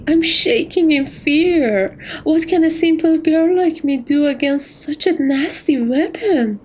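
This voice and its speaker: Slow, high-voiced teasing catgirl thief acted by a woman. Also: darkish tone. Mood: teasing, coy. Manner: teasing, coy, theatrical, affectionate. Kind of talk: romantic ASMR roleplay.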